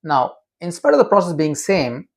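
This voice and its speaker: A man's voice: a little high, sing-song, moderate pace. A man being interviewed as a memory expert, explaining in an emphatic, teacherly way and often asking rhetorical questions.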